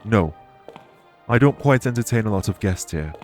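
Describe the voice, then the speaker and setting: A man playing a courteous former king turned fae official in an audio roleplay, speaking formally and with measured reassurance. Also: lowish voice, expressive, faint music behind, natural voice. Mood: reserved, matter-of-fact. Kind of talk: audio roleplay spoken to the listener.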